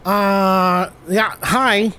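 A man with a cold, hoarse and stammering, hosting an improvised comedy podcast and constantly correcting himself. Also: sits high, steady tone, extremely slow, pushed and bright. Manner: rambling, stammering, self-correcting. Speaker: podcast host.

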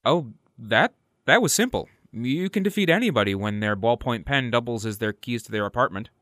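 A man's voice: medium pitch, animated, fairly quick, a bit bright, no room sound, clean studio sound, close to an everyday voice. A man playing a weary, sardonic private-eye adventurer in a comedy audio drama. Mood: self-assured. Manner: sardonic, impatient, self-assured.

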